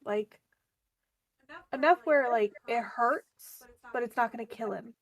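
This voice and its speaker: A woman's voice: a little high, measured pace. A woman playing a tabletop game, casually describing what her character does. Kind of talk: casual roleplay, describing actions.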